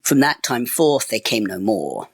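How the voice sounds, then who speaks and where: A man reading a fairy tale as storyteller, in expressive, measured phrases. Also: medium pitch, creaky, husky, dry recording, clean studio sound. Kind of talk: scripted storybook narration.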